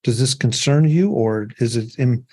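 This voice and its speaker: Male host, mellow husky tone, relaxed and reflective, steers the panel. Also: medium pitch, normal speed. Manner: relaxed, reflective, calls on guests. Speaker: show host. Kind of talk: casual panel discussion.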